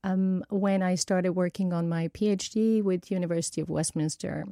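A woman from Bulgaria, a native Bulgarian speaker in her forties, talking reflectively and haltingly as an interview guest. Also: fairly low pitch, fairly even intonation, dark tone, dry recording, clean studio sound. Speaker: studio guest, education technology academic. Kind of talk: conversational interview. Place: broadcast radio studio.

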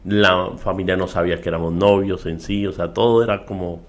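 A middle-aged man with a Colombian accent, an interview guest and minister, telling his story at a measured pace with frequent fillers. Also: low voice, steady tone. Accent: Colombian Spanish. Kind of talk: relaxed testimony in conversation.

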